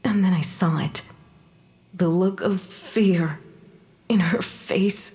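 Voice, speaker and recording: Slow-spoken, haunted ghost of a mother. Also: on the low side, warm tone. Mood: anguished, tender. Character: ghost of a dead mother, wounded, bitter and haunted. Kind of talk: naturalistic audio drama acting.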